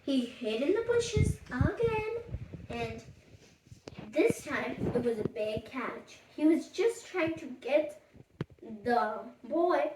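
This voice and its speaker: A storyteller improvising a bedtime story in a halting, childlike way, repeating words along the way. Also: sits high, expressive. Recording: echoey room, distant mic, faint noise, clicks.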